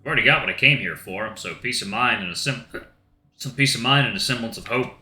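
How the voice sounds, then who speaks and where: Man reading a story in a bright voice. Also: medium pitch, animated, quick pace. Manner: reflective, subdued reading. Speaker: let's-play narrator. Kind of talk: first-person visual novel narration. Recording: roomy echo with faint guitar music.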